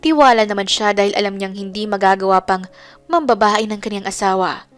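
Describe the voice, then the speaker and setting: A woman's voice: mid-range voice, a little fast, faint music behind. A woman narrating a scripted romance story as a dramatic storyteller.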